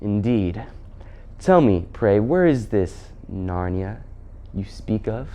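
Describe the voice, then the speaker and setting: A man's voice: lowish voice, animated, slow, dark tone. A man voicing an imperious witch queen who is sweetly menacing and condescending, with haughty archaic phrasing. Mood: sweetly dismissive. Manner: archaic, haughty phrasing with coaxing mock pity.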